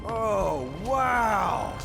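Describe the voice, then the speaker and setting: An actor playing an easygoing, wisecracking young male space adventurer in a video game, with an American accent and a casual, halting delivery. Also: high voice, animated, vocal fry, long reverb tail, music in the background, exaggerated voice. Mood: amazed, uneasy. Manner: casual, hesitant, joking. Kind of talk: naturalistic video game voice acting.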